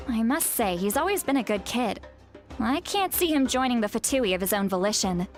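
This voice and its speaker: A woman's voice: a little high, animated, soft background music, close to an everyday voice. Woman acting a solemn, self-assured oracle shaman. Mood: composed. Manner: measured, formal, authoritative. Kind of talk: video game character acting.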